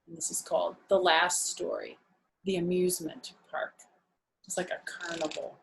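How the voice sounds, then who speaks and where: A woman's voice: on the low side, flat delivery, moderate pace, gravelly, a bit bright, slight background noise, rough recording. A woman storyteller reading aloud to young children, adding casual explanations and questions as asides.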